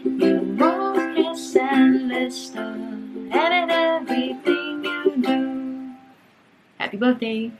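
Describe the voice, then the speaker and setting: Slow, deliberate woman reciting a rhyme. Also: high-pitched, faint music behind, poor audio. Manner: reads a rhyme slowly and deliberately. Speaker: fan sending birthday wishes. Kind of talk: casual video greeting with recited verse.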